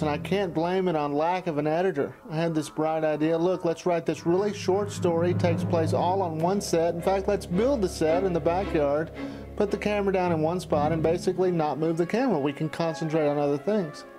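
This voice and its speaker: A middle-aged man with a slightly high voice recalls his amateur film days as if in a documentary. He is conversational and candid, happy to blame himself, and music plays clearly behind him. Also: steady tone, a little fast. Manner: candid, self-deprecating. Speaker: amateur filmmaker recalling his past productions. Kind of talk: documentary reminiscence, conversational narration. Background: background music.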